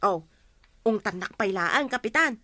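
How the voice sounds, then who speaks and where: A woman's voice: mid-range voice, lively intonation, unhurried, pushed and bright, no room sound. A woman playing an eager, gossipy village woman in a comedy radio drama, chatty and exclamatory. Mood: flattering.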